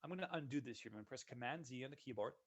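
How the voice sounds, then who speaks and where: A man, a solutions consultant giving a relaxed, conversational walkthrough of software in a live webinar. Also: mid-range voice, racing. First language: Dutch. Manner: relaxed, conversational walkthrough narrating each click.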